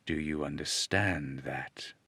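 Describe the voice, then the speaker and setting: A man playing a menacing, commanding hypnotist villain in an old-time radio serial, speaking in measured, deliberate orders. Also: rumbling low, pushed and bright, slightly rough, no echo, voice pushed down, full character voice. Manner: slow, commanding, measured delivery. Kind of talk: 1930s radio serial acting.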